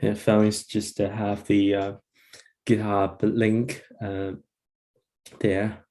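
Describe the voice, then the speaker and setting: Slow, halting Chinese-accented man, mellow voice. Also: fairly low pitch, little pitch movement. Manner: halting, hesitant. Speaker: volunteer interpreter. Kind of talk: informal live interpreting.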